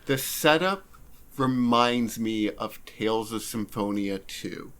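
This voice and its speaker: A man's voice: fairly low pitch, expressive, slow pace, fairly bright tone. A man co-hosting a podcast, chatting casually about video games in a blunt, opinionated way. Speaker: podcast co-host. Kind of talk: casual video game discussion.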